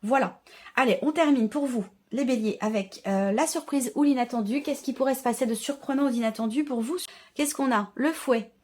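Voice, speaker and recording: A woman with a fairly high voice hosts a tarot reading. She talks informally to her viewers, thinking aloud and often rephrasing as she interprets each card. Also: fairly quick, darkish tone. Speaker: tarot reader and channel host.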